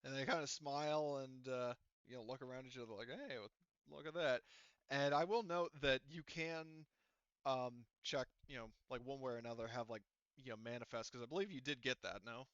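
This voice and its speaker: A man running a tabletop roleplaying session as game master, explaining things casually and haltingly. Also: medium pitch, steady tone, fairly bright tone, clear, resonant tone, no room sound, highs cut off.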